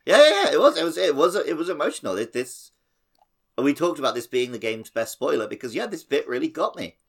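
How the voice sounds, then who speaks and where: A man's voice: on the high side, big pitch swings, brisk. A man co-hosting a podcast, discussing a game in a casual, conversational style. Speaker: podcast host.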